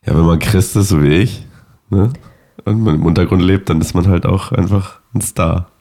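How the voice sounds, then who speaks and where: A man in his thirties, sick and hoarse, chatting casually and joking as co-host of a podcast. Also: deep voice, steady tone, moderate pace, dark tone. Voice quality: raspy, gravelly. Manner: joking, rambling. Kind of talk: casual chat.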